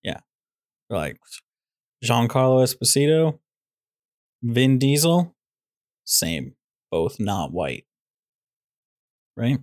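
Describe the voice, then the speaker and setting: A man's voice: medium pitch, big pitch swings, drawn-out words, long pauses, a little mellow, no room sound. Dry-sounding sarcastic podcast man. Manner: teasing, sarcastic banter. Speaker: podcast co-host. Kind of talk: casual chat.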